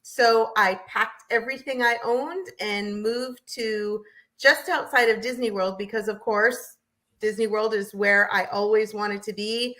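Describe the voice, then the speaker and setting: Female American guest, bright forceful voice, chatty storytelling delivery. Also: mid-range voice, steady tone, unhurried. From southern New Hampshire, United States. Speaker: guest, realtor. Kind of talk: interview storytelling.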